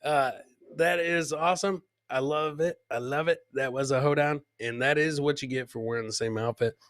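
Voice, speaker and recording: A man's voice: on the high side, fairly even intonation, moderate pace, a bit bright, no echo, studio quality. A man hosting a live comedy clip show, joking and teasing as he comments on videos and repeats his punchlines.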